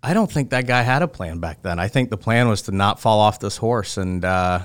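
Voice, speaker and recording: A man in his thirties from Russia, a guest in an interview, explaining things at length in a relaxed, conversational way. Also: on the low side, moderate pace, smooth, no room sound.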